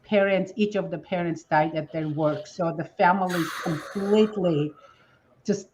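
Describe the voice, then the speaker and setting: A woman's voice: on the low side, a little slow, a little mellow, a little hiss or hum, rough recording. A woman from Armenia in her fifties, a guest telling her story in earnest, broken-up sentences. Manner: earnest, grateful, speaks in fragments. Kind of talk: conversational storytelling.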